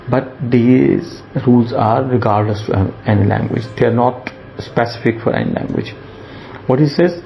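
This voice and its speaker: Slow, gravelly male lecturer. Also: mid-range voice, dull audio, a little hiss or hum. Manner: slow, explanatory, repeats points with fillers. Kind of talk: recorded lecture over slides.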